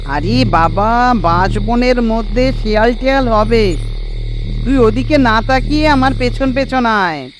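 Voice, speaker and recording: Man voicing a very high-pitched cartoon village lad. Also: lively intonation, unhurried, a little hiss or hum. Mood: confident, brisk. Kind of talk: cartoon horror story dialogue.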